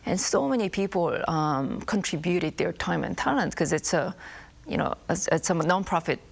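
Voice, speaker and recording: A woman's voice: fairly low pitch, lively intonation, moderate pace, vocal fry, breathy edge. A woman in her thirties from South Korea with a Korean accent, answering interview questions thoughtfully with many fillers and restarts. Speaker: filmmaker guest. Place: broadcast studio.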